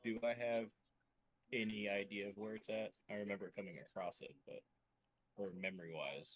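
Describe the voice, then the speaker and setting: A man's voice: on the low side, fairly even intonation, moderate pace. A man playing a tabletop role-playing game in casual chat, with noisy ambience around his voice. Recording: clearly noisy background ambience.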